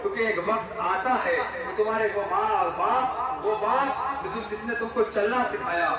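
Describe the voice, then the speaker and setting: A young man preaching an Eid sermon in an impassioned, shouted style with rhythmic repetition. He is heard from a distance at a large prayer gathering. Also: high voice, normal speed. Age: young adult. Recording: echoey hall, distant mic, loud crowd. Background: loud crowd.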